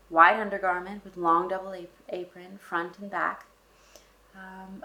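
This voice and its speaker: A woman's voice: medium pitch, sharp tone, rough recording. A woman reading a classic novel aloud and explaining it, in a casual manner with wry asides to the characters. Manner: frequent fillers and restarts, thinking aloud. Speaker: book channel host.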